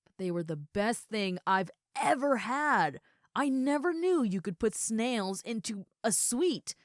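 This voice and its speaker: A woman playing a big, friendly ogre roommate in an audio roleplay, chatting casually and gently to the listener. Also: fairly high pitch, lively intonation, a little slow, dry recording, studio quality. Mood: warm, reassuring. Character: huge muscular red female ogre roommate, friendly and easygoing.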